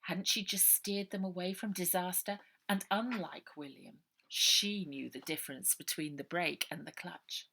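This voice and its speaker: Female storyteller for a children's audiobook, slightly low pitch, bright forceful delivery, expressive reading. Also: unhurried, creaky, few pauses, breathy edge. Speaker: author reading own novel.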